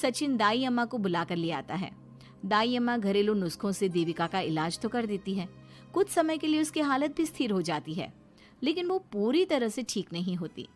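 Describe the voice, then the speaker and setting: A woman's voice: medium pitch, big pitch swings, normal speed, faint music behind. A woman reading a scripted dramatic story narration for a cartoon.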